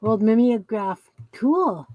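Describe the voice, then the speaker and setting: A woman from Canada hosting a casual craft livestream, chatty and rambling with quick laughs. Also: a little high, lively intonation, dry recording, muffled recording. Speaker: livestream host.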